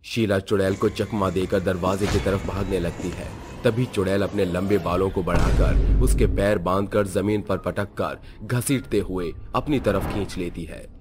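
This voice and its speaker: A man's voice: deep voice, steady tone, moderate pace, few pauses, noisy background. A man narrating a scripted horror story as its storyteller.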